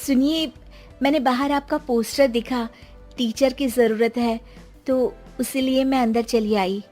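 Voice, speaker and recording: A woman's voice: fairly high pitch, steady tone, unhurried, darkish tone, soft background music, lightly acted. A woman voicing a meek, earnest young daughter-in-law who speaks in a pleading, deferential way in a moral story. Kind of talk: melodramatic Hindi moral story acting.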